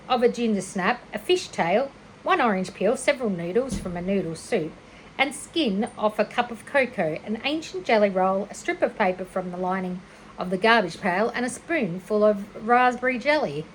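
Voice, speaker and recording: A woman reading a children's story aloud like a teacher, expressive and a little unpolished, with small stumbles and self-corrections. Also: on the high side, a little hiss or hum, low-quality recording. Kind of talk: children's storybook read-aloud, narration with character voices.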